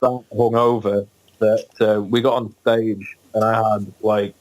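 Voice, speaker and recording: A man, a guest musician on a casual podcast, tells his stories haltingly and with plenty of fillers. He laughs easily as he goes. His voice sits on the lower side. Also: little pitch movement, a little mellow, poor audio. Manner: hesitant, full of ums, laughs often. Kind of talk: casual podcast interview storytelling.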